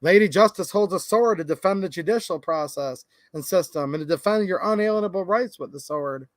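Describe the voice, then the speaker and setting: A man's voice: sits high, fairly quick, no echo. A man hosting a live stream, chatting casually and passionately with viewers and reading out their comments. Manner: passionate and folksy, talks straight to viewers. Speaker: livestream host. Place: home recording studio.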